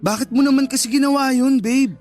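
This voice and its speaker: A very high-pitched male voice plays an exasperated young boyfriend and brother trying to referee. It is radio drama acting with faint music behind. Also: steady tone, brisk, a little mellow. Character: exasperated young man caught between sister and girlfriend.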